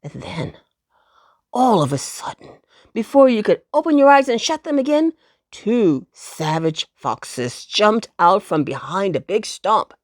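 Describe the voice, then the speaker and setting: A woman's voice: mid-range voice, sing-song, unhurried, no room sound, studio quality. A woman narrating children's stories expressively, in a soothing manner that speaks straight to young listeners. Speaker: storyteller.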